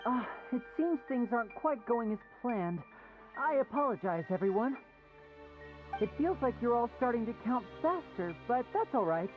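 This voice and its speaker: A woman's voice: on the high side, big pitch swings, moderate pace, narrow, tinny audio, loud music behind the voice. A woman voicing a polite young male stage magician in a video game, with theatrical, courteous showman's patter. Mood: flustered. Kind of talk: animated video game acting.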